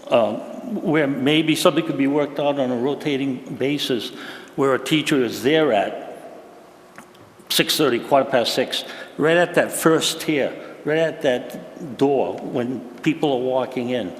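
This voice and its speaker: A man's voice: mid-range voice, unhurried. A man giving a public comment at a school meeting, earnest and informal, trailing off at times. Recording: echoey hall with faint noise.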